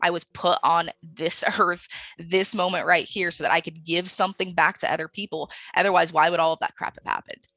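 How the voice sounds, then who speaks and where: A woman's voice: lowish voice, steady tone, a little fast, sharp tone, dry recording. A woman speaking as a podcast guest in a casual, personal way, earnest and conversational, often checking for agreement. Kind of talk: casual, personal interview talk.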